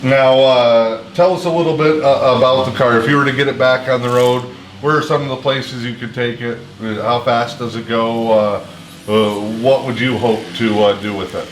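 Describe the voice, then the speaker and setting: A man hosting a casual local interview, with halting, hesitant phrasing. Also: mid-range voice, fairly even intonation, slight background noise. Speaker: host and interviewer. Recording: roomy echo, music underneath.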